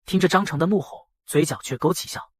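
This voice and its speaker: A man with a husky voice narrating a dramatized first-person story. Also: on the high side, fast talker, dry recording. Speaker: narrator and voice of the male lead.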